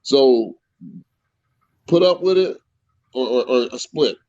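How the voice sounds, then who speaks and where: A man in his fifties on a livestream, chiming in excitedly. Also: medium pitch, takes it slowly, lo-fi sound. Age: 50s. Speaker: live-stream panelist. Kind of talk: casual chat.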